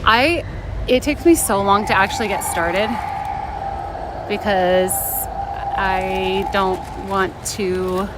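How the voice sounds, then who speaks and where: A woman live streamer talks casually outdoors in a theme park, with clear ambient noise around her. Her pitch is middling, and she is playful and teasing, often interrupting herself mid-thought as she replies to her chat. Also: slow, long pauses. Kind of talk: casual chatty livestream talk.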